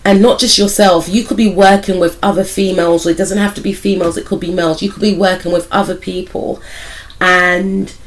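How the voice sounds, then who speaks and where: A woman with a croaky voice, still recovering from a cold, giving a casual, rambling tarot reading and speaking straight to her viewers, with many hedges about what she feels. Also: on the low side, fairly even intonation, a little fast, low-quality recording. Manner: speaks directly to viewers as "some of you". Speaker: tarot reader and spiritual life coach.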